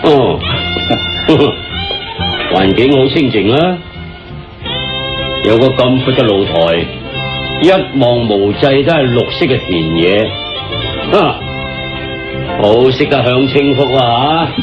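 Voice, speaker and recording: A man with a Hong Kong Cantonese accent playing a genial, commanding police chief in a radio drama, speaking in a hearty, deliberate, theatrical way. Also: mid-range voice, expressive. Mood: jovial, hearty. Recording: muffled lo-fi radio drama with music.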